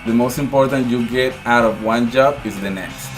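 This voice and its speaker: A man's voice: mid-range voice, normal speed, over music. A man in his thirties from Venezuela with a Venezuelan Spanish accent, a video editor and camera operator, speaking confidently in a documentary interview in a studio. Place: studio with blue wall indoors.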